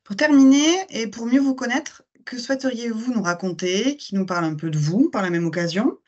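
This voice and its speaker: A woman's voice: on the high side, brisk, darkish tone, highs cut off. Polished woman interviewer. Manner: polished, formal delivery. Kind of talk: scripted interview questions.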